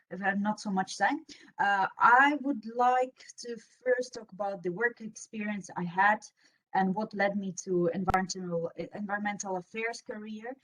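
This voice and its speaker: A woman's voice: medium pitch, fairly bright tone, poor audio. A young woman from Russia, a native Russian speaker with a Russian accent, giving an informal career presentation on an online panel. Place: online video meeting with screen sharing.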